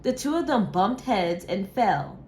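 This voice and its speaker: A woman reading a children's story aloud with measured, expressive delivery, like a teacher. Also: mid-range voice, a little hiss or hum.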